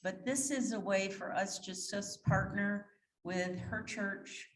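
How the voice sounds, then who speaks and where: A woman's voice: medium pitch, measured pace. A woman introducing a guest speaker in a chatty, self-deprecating way. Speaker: club member introducing speaker. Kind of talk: introduction speech. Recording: echoey room.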